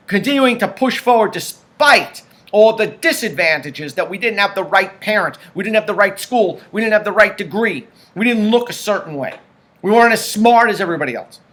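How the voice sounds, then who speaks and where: A man's voice: sits high, fairly bright tone. A man hosting a political commentary show, talking emphatically and stacking up points in lists.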